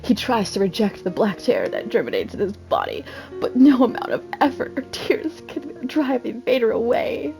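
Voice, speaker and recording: A woman's voice: a little high, big pitch swings, moderate pace, creaky, a little mellow. Woman reading dramatically with casual asides, music behind. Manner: self-deprecating, often breaking off to comment on her reading. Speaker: gamer reading a video game's story aloud.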